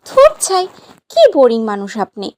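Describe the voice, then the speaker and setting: Casting: actress as teasing, bossy young bride for romantic drama; high pitch, lively swoops, insistent. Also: female voice, moderate pace. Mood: pouty, exasperated. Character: playful, demanding young new bride, sweetly bossy. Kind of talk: romantic audio story acting.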